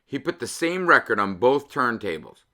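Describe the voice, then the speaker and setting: Male read-aloud host, 50s, bright forceful voice, mid-pitched, emphatic and deliberate. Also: sing-song. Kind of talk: expressive children's picture-book read-aloud.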